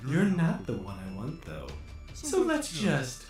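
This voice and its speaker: A man's voice: fairly high pitch, huge pitch swings, far from the mic, exaggerated voice. A man playing a sadistic, taunting bat demon in an audio drama, toying theatrically with prey. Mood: smug, amused. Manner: taunting, theatrical, drawn-out delivery. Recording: roomy echo on the voice. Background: music.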